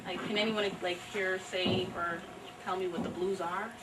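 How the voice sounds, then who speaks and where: A woman's voice: on the low side, steady tone, normal speed, very noisy. A woman performer speaking to a live audience at a poetry event, recorded live in a library room. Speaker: actress performing a poetry reading and leading discussion. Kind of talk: dramatic, half-sung poetry recital and casual audience discussion. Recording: live event, distant mic, piano music behind.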